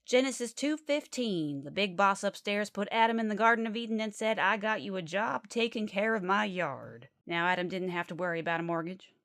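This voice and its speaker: A woman's voice: mid-range voice, lively intonation, brisk, few pauses, fairly bright tone, dry recording. Zealous Southern female preacher, played by an actress, folksy, emphatic late-night radio sermonizer, dry studio sound. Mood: earnest preaching.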